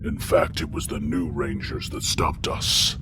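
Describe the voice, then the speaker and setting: A man's voice: extremely low pitch, fairly even intonation, unhurried, background noise. A man playing an obedient winged alien warrior in an audio drama, formal and deferential. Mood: dutiful.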